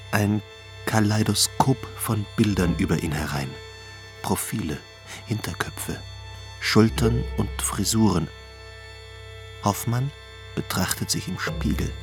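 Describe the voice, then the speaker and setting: A man's voice: on the low side, animated, slow, pauses often, faint music behind. A man reading scripted literary narration for a radio drama.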